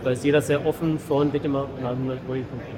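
A man hosting a podcast who talks in a friendly, relaxed way, with fillers. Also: mid-range voice, brisk, low-quality recording. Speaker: podcast host. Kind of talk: casual interview chat. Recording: crowd noise behind.

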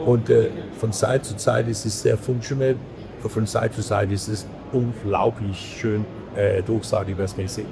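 A man from Belgium, a podcast guest who speaks halting with many fillers and restarts. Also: on the low side, fairly even intonation, gravelly. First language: not German. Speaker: podcast guest, editor of a print-industry film channel. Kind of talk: casual interview chat. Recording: crowd noise behind.

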